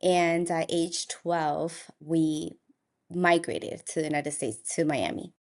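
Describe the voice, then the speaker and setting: A woman's voice: low voice, level tone, slow pace, a little mellow. A young woman from Colombia, an optometrist telling her story in a casual interview and laughing now and then. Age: young adult. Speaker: optometrist guest.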